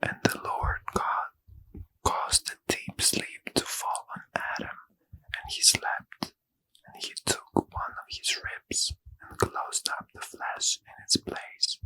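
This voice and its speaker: A man reading scripture as a bedtime ASMR story in a breathy, hushed, careful whisper, close to the mic. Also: no room sound, poor audio. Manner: slow, careful, hushed delivery. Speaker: ASMR reader.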